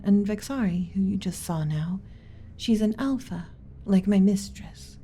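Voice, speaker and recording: A woman playing a gentle, submissive alien servant in an ASMR roleplay, speaking softly and breathily with hesitant, deferential pauses and some whispering. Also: medium pitch. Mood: explaining, hushed. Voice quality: soft, breathy with whispered stretches. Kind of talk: ASMR roleplay, soft intimate delivery.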